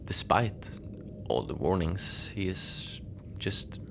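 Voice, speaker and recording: A man playing a mild, lonely Antarctic station manager in a cozy horror audio drama, speaking in a hesitant, understated deadpan as he logs his shift. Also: sits low, slow pace, creaky, whispered, exaggerated voice. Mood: musing, puzzled. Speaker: narrator of a fiction podcast. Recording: noisy ambient bed behind the voice.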